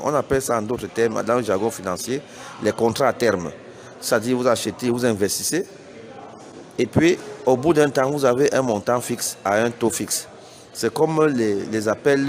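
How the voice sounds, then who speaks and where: A middle-aged man from Cameroon whose first language is Bassa, giving an earnest, persuasive spoken statement to reporters, hesitating and restarting often. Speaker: business founder giving a press statement.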